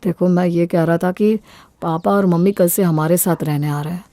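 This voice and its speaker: A woman's voice: on the low side, steady tone, normal speed, warm tone, no room sound, lightly acted. A woman voicing a mild, accommodating young husband and devoted son in an audio story, with a reassuring manner. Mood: mild, conciliatory. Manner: conciliatory, reassuring.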